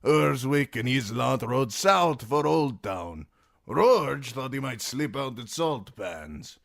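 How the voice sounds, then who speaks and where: A man's voice: medium pitch, expressive, slow pace, vocal fry, a bit bright, dry recording, clean studio sound, pitched above the performer's own voice, exaggerated voice. A man doing a sneering sellsword with a Dornish drawl. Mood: telling. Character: sly male sellsword with a drawl, mocking.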